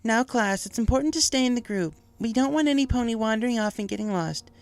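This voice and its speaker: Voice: medium pitch, fast, dry recording, pitched above the performer's own voice, close to an everyday voice. A narrator voicing a polite, friendly female pony schoolteacher in a storybook reading. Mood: bright, instructive.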